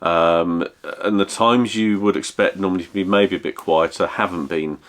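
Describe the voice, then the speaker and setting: A man's voice: sits low, steady tone. A man, chatting casually as a travel vlogger, rambling with many restarts and fillers. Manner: measured, rambling, lots of fillers and self-corrections. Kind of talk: casual chat.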